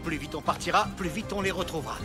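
Man playing a high-voiced, cheerful cartoon dad. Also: fast talker, music in the background, close to an everyday voice. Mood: gentle, reassuring. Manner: jovial, expressive. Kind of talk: French cartoon dubbing.

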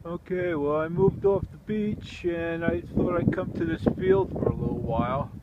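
A man casually narrating his metal detecting outdoors, in a relaxed, plain-spoken way with many fillers, recorded on a handheld camera. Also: fairly high pitch, moderate pace, phone-quality sound. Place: windy beach and field outdoors. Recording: loud wind noise on the mic.

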